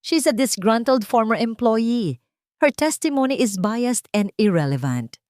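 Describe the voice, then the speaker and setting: A woman voicing a combative, desperate defense lawyer in a courtroom audio drama, forceful and indignant. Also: a little high, sing-song, a little slow, dry recording, studio quality, pitched above the performer's own voice.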